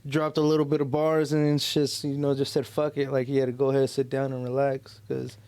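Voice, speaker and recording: A man's voice: medium pitch, monotone, a little fast, darkish tone, no room sound. A man co-hosting a podcast in casual, slangy chat with plenty of swearing. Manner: slangy, profane. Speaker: podcast co-host.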